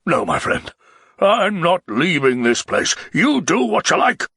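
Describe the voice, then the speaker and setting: A narrator puts on a melancholy, blustering nobleman who stretches his words slowly and loudly, his voice raised high above the storyteller's own and rough with gravel. He swings into sudden shouts, heavy with wounded pride, in a dry close recording. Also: big pitch swings, no echo, full character voice. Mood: scornful. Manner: loud, drawn-out, exclamatory delivery, sometimes shouting. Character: blustering, melancholy middle-aged male nobleman.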